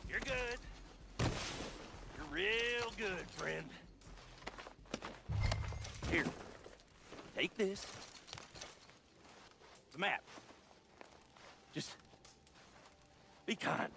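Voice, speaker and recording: An actor playing a rough gunman in a western video game, speaking in a strained, halting way. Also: high-pitched, animated, full character voice. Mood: strained, pleading. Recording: loud music over the voice.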